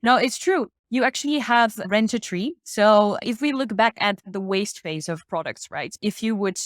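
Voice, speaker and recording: A woman's voice: medium pitch, expressive, normal speed, dry recording, clean studio sound. A woman explaining sustainability as an expert guest in a casual, upbeat discussion, thinking aloud as she goes.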